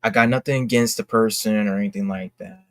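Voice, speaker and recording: A young man talking casually and candidly to his fans in a halting, reflective, earnest way, as an independent creator. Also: on the low side, fairly even intonation, dry recording, studio quality. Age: young adult. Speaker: indie comic creator and vlogger.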